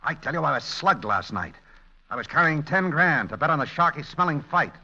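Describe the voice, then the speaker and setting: A man's voice: fairly high pitch, sing-song, a little fast, pushed and bright, full character voice. A man playing a tough, irritable, wisecracking con artist, curt and snappy, in 1940s radio comedy style. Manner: curt, snappy, hard-boiled. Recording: dull, lo-fi vintage radio recording.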